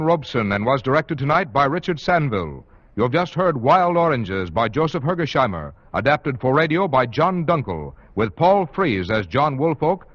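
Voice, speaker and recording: A man's voice: a little high, fairly bright tone, no room sound. An American man reading scripted announcements in the formal style of a 1940s radio announcer. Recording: muffled vintage radio, music behind.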